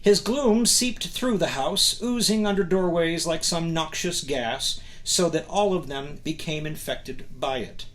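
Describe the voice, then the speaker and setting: A man speaking as a host and book reader, expressive and emphatic, with dramatic pauses. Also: fairly high pitch. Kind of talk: enthusiastic talk to camera and reading aloud from a novel.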